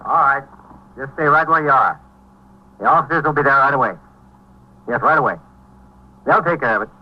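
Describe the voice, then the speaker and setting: An actor playing a plain-spoken, dutiful police sergeant in a terse, matter-of-fact 1950s radio drama style. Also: male voice, medium pitch, brisk, lightly acted. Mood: businesslike. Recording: dull, noisy 1950s radio recording.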